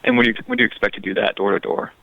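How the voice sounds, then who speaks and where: A male reporter puts questions in a casual, slightly halting way. He talks fast, with a creaky, gravelly edge. The sound is a dry, limited phone line. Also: lowish voice, wildly swooping pitch, no room sound. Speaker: newspaper reporter. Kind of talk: asking questions on a conference call. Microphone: telephone.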